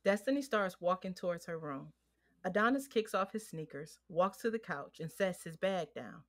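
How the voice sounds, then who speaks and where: A woman's voice: lowish voice, animated, moderate pace. An American woman giving scripted, present-tense narration for an audio drama. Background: music.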